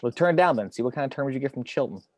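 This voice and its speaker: A man's voice: mid-range voice, animated, very fast, no room sound, close to an everyday voice. Man playing a terse investigator, dry close sound. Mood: insistent. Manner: terse, insistent, controlled. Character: tense, driven male criminal investigator bargaining stubbornly. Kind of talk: dramatic table read.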